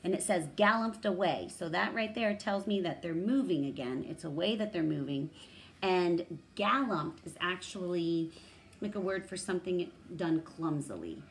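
A woman in her thirties with a low, creaky voice reads a story aloud for children. She steps out of the text to explain and reason through it in an instructional way. There is faint noise behind her in a room at home. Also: normal speed. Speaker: read-aloud teacher. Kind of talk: animated children's story reading with word explanations. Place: small room at home, indoors.

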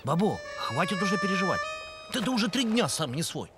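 Little pirate schoolboy, practical and encouraging, high voice, lively melody, cartoon. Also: male voice, brisk. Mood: practical, reassuring. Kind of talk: cartoon voice acting. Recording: music behind the voice.

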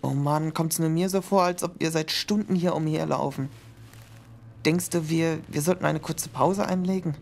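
A man's voice: on the high side, fairly even intonation, normal speed, creaky, slight background noise. A young man acting an uneasy male student, a companion on a search in a horror audio drama. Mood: weary, uneasy. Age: young adult. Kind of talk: German horror radio play acting.

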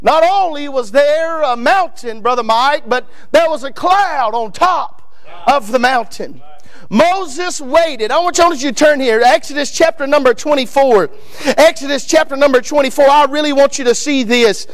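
A man in his thirties with a Kentucky accent preaching a fiery Baptist sermon from a pulpit in a church sanctuary, often shouting and calling out men in the pews by name. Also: extremely high pitch, moderate pace. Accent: Northern Kentucky. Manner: builds to shouting, repeats lines, addresses men in the pews by name. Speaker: guest preacher. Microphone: pulpit microphone.

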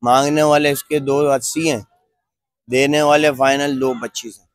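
A man's voice: mid-range voice, fairly even intonation, moderate pace, no echo, clean studio sound. A man from Pakistan selling cattle at an outdoor market, quoting prices in short, firm answers. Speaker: cattle seller.

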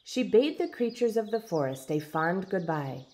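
A woman reading a storybook aloud in expressive, deliberate, dramatic narration. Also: mid-range voice. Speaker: storybook reader. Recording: background noise with birdsong.

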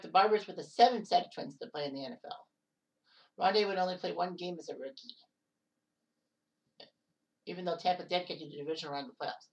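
A woman in her thirties narrating sports history casually, rambling with offhand asides and quick self-corrections. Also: on the low side, distant mic, poor audio. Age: 30s.

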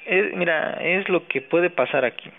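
A Mexican man talking informally on a phone call, slangy, halting and resigned. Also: high voice. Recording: noisy, narrow-band phone call.

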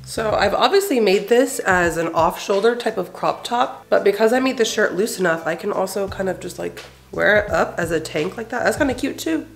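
A woman's voice: medium pitch, expressive, brisk, gravelly, hardly any pauses, soft background music. A young woman in her twenties making a casual, chatty crafting vlog at home, enthusiastic and full of fillers. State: head cold, nasal. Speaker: crochet vlogger. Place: bedroom and living room at home.

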